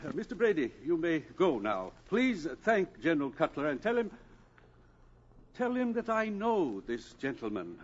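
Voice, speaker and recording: A man's voice: on the high side, big pitch swings, moderate pace, muffled recording, slight background noise. An actor playing an eager, curious older male professor who hesitates and fumbles with fillers in an old radio drama. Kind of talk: 1950s radio acting.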